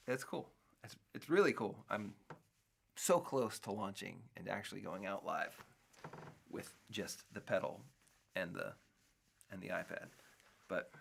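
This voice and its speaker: A middle-aged man with a low, gravelly voice talks loosely on his own show. He drifts from thought to thought, restarting sentences and filling gaps with fillers as he muses. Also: a bit bright. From United States. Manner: rambling, musing, many restarts and fillers. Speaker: podcast host. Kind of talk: casual solo talk.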